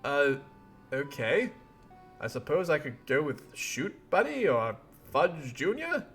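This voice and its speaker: A man's voice: fairly high pitch, very animated, slow, a bit bright, soft background music, heavily acted. A man voicing a cheerful, oblivious young male adventurer who rambles earnestly in wordy, folksy old-fashioned slang. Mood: placating, sheepish.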